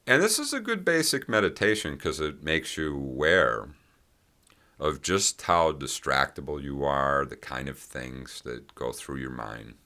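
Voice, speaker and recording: A man leading a guided meditation with gentle, unhurried instructions and long pauses between phrases. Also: extremely low pitch, animated.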